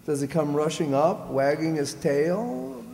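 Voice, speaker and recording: Man acting as earnest, mellow radio show guest. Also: on the high side, expressive, a little slow, gravelly. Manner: earnest, listing points methodically. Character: earnest single male reserve officer reporting in by telephone. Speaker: telephone guest. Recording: echoey hall.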